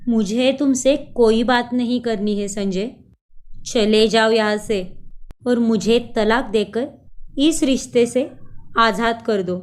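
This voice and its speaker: A woman's voice: a little high, takes it slowly. A woman voicing a heartbroken but resolute estranged wife, dramatic and sorrowful, in a melodramatic cartoon story. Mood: cold, resolute.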